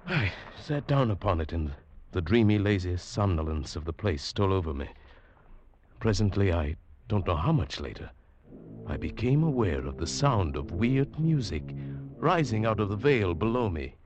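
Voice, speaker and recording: An actor playing an uneasy traveller who narrates an eerie tale in a dramatic 1940s radio drama style. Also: male voice, sits low, big pitch swings, normal speed, background noise. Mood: haunted, wondering. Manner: dramatic, hushed swelling to agitated. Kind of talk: 1940s radio drama acting, first-person scripted narration. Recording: old radio broadcast with music.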